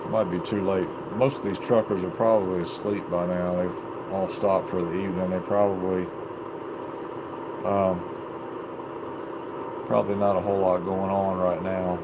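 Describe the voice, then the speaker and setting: A man's voice: low voice, little pitch movement, moderate pace, pauses often. A man chatting casually in a rambling, folksy way as he hosts a live video from his basement radio room. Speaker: live stream host, CB radio hobbyist. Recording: very noisy home live stream.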